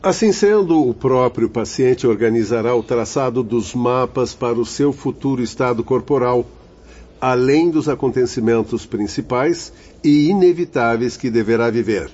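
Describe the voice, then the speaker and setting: A man's voice: mid-range voice, moderate pace, a little mellow, close to an everyday voice. A man playing a courteous, instructive spirit guide in a radio drama, with a formal explanatory manner. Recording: dull lo-fi audio.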